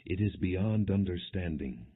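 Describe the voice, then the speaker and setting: A man's voice: sits low, lively intonation, measured pace, slightly rough, heavily acted. A man voicing a solemn, commanding angel of God in a scripture reading, on slightly muffled audio. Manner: solemn, authoritative.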